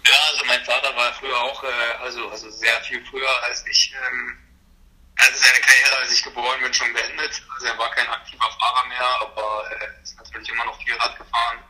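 A man's voice: medium pitch, flat delivery, a little fast, bright, forceful tone. A man in his thirties answering interview questions casually, with many hesitations and fillers, heard over a phone line. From Germany. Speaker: interview guest, pro cyclist.

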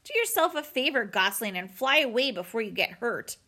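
A woman's voice: on the high side, sing-song, fairly quick, bright, forceful tone, heavily acted. A woman voicing a sarcastic young female bear, a mean, bossy older sister, in a sneering, taunting storybook reading. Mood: sarcastic, mocking.

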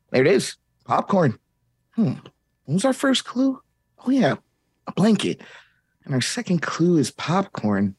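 A woman's voice: a bass voice, darkish tone, breathy edge, no room sound, clean studio sound, heavily acted. Woman reading a perky children's show host. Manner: perky, sing-song children's TV host delivery. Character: upbeat kids' show host guiding viewers through clues. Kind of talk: comedic table read of a kids' show script.

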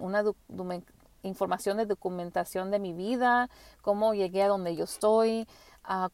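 Woman with English as her first language, podcast host, hesitant, gentle, dry close sound. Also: medium pitch, dry recording. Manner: hesitant, frequent restarts and fillers. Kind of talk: casual spiritual monologue.

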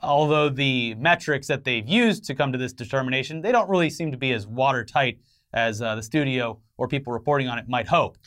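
A man's voice: mid-range voice, a little fast, a bit bright. A man co-hosting an entertainment news show, mixing scripted commentary with casual banter and wry, sarcastic asides.

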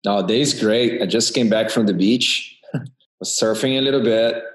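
Man, middle-aged, fairly low pitch, repeats himself, casual chat. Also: rough recording. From Brazil. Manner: halting, repeats words, restarts often. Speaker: guest designer. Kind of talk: casual interview talk.

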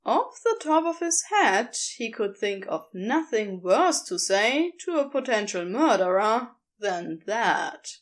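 A woman reading a story aloud as narrator, in an expressive scripted style. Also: fairly high pitch, takes it slowly, few pauses. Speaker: podfic narrator.

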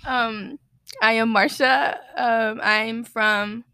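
A woman's voice: on the high side, fairly even intonation, drawn-out words, bright, forceful tone, smooth, no echo. A woman in her twenties, co-hosting a podcast in a casual, bubbly chat, a little nervous and chatty. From United States. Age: 20s. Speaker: podcast co-host.

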